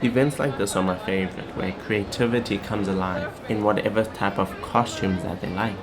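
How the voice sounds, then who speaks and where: A man's voice: on the low side, normal speed, background noise. A young man narrating a scripted vlog. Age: young adult. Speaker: vlogger.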